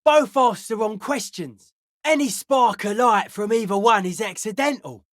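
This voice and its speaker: A woman voicing a suspicious, aloof young hardcore raver in a video game, speaking in deadpan, clipped, cryptic pronouncements. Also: on the high side, unhurried, no room sound, clean studio sound, natural voice. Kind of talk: video game character dialogue.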